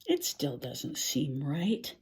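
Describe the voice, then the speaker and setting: Older woman reading aloud, slow and animated. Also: very deep voice. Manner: expressive, theatrical, with musing exclamations and dramatic pauses. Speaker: picture-book reader. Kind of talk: animated read-aloud of a rhyming children's story.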